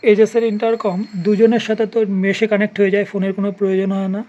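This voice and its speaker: A product reviewer talks straight to the viewer in an informal, explanatory way. The voice sits at a middle pitch. The recording carries clearly audible ambient noise behind the practical, hands-on commentary. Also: flat delivery, racing, mellow, highs cut off. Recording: clearly noisy ambient background.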